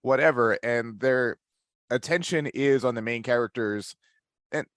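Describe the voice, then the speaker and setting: A man's voice: medium pitch, measured pace, a bit bright, dry recording. Man thinking aloud, dry close sound. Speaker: podcast panelist. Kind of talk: casual panel discussion.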